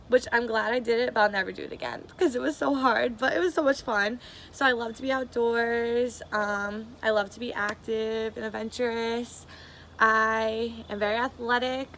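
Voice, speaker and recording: A woman's voice: on the high side, a bit bright, a little hiss or hum. A bubbly 22-year-old woman, chatting eagerly to camera in a casual audition video. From Massachusetts, USA. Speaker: reality show applicant.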